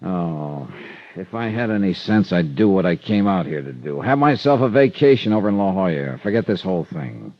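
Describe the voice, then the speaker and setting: Dogged, wry insurance investigator; American, lower register, dry close sound, golden-age radio crime drama. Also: male voice, lively intonation, moderate pace, no room sound. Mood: weary, brisk. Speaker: detective and first-person narrator. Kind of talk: 1940s-50s radio drama acting. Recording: dull vintage radio recording.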